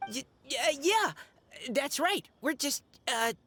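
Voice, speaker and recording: A shy young boy stammers in a bright, highish voice. He is slow and hesitant, nervously flustered, in an anime-style game. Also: animated, exaggerated voice. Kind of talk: scripted video game acting.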